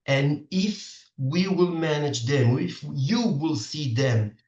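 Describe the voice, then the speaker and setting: A middle-aged man with an Israeli accent, a native Hebrew speaker, coaching parents in halting, earnest English full of restarts over a video call. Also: fairly high pitch, darkish tone. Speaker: dating coach for singles. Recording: distant, roomy, dull video-call audio.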